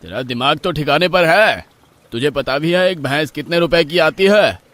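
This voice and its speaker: A man's voice: on the high side, lively intonation, normal speed, a bit bright. Man acting a stern, domineering village master. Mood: stern, annoyed. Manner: scolding, domineering. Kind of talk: Hindi horror story character dialogue.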